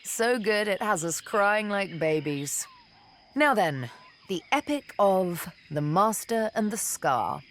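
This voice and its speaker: An actress playing a haunted, wistful young elven woman in a video game, telling her tale in deliberate, poetic, theatrical phrases. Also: medium pitch, expressive, fairly bright tone, a little hiss or hum. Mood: wry, reflective. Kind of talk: dramatic video game dialogue, intimate storytelling.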